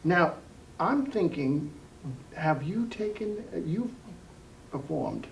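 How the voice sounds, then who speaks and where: A man hosting a local cable interview, speaking in a deliberate, emphatic way. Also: lively intonation, creaky. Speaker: interviewer, TV program host. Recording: distant mic, faint rumbling noise.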